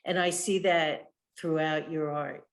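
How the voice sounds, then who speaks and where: A woman asks an artist questions in a low, unhurried voice. She speaks in a thoughtful, measured way, often adding "you know". Her voice comes over a video call from home. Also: steady tone. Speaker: interviewer, writer and curator. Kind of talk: interview questions. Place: home in the Southwest.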